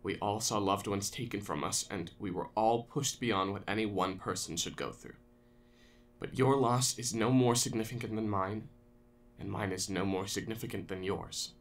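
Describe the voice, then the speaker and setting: A man playing a firm, sombre interviewer in a radio drama, measured, earnest and formal. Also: on the low side, close to an everyday voice. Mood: firm, solemn.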